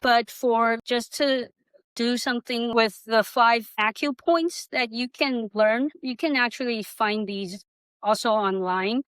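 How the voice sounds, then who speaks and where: Workshop presenter, woman from Taiwan, clear and fairly high voice, conversational and loosely structured, dry recording. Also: slow pace, fairly bright tone, no echo. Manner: rambling, conversational, non-native grammar. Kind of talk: informal workshop talk.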